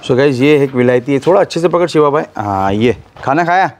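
A man hosting a casual vlog at an outdoor livestock farm, his voice at an everyday middle pitch. He talks easily and keeps asking follow-up questions. Faint outdoor noise sits under the voice. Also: animated, brisk. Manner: chatty, asks many follow-up questions. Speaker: vlogger touring a livestock farm. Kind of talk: casual on-location vlog chat. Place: outdoor goat farm yard.

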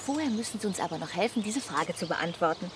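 An actress playing a chatty, bossy middle-aged camper wife in a radio comedy, brisk and teasing. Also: female voice, fairly low pitch, expressive, background noise, close to an everyday voice. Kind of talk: radio comedy drama acting.

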